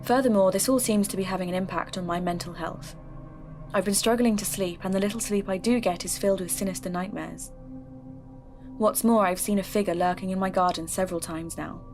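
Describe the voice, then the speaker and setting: A woman playing a troubled female investigator hosts a folklore podcast. She narrates in a measured, earnest way at a middling pitch, with music running clearly underneath. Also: fairly even intonation, lightly acted. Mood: measured, uneasy. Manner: measured, earnest, formal phrasing.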